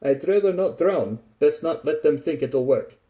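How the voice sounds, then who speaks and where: Man putting on a gruff, loud soldier. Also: fairly high pitch, animated, normal speed, highs cut off, voice pushed up, lightly acted. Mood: grumbling. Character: gruff, boozy, smug Russian male soldier. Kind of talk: dramatised story reading.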